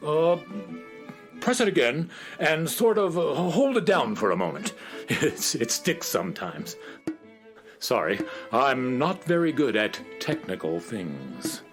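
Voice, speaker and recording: An actor playing a bumbling, politely reverent temple curator in a children's radio comedy, halting and deferential. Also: medium pitch, big pitch swings, soft background music. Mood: flustered, apologetic.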